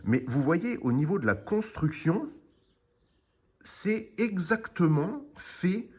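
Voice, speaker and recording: A man's voice: a little high, slightly rough. A man giving a casual, unscripted product review in an informal, explanatory way, often adding little conversational tags. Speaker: video host presenting a product.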